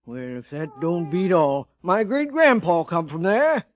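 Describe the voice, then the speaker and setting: An actor playing a talkative, folksy frontier bartender with a drawl, in an old radio drama. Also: male voice, a little high, expressive, measured pace. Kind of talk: 1950s radio drama acting. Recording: old radio broadcast recording.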